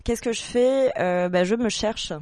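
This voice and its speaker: A woman in her late twenties from Normandy, a guest on a radio show, talking casually with many pauses and restarts. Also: on the high side, darkish tone, no echo. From Normandy, France. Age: late 20s. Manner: hesitant, many fillers and restarts. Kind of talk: casual interview chat.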